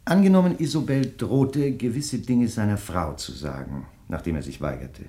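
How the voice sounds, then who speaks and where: Probing, brooding man played by an actor, lively intonation. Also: lowish voice, natural voice. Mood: insistent, suspicious. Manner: interrogating, pointed, deliberate. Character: probing, suspicious man crime writer, brooding and insistent. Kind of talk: German radio drama dialogue.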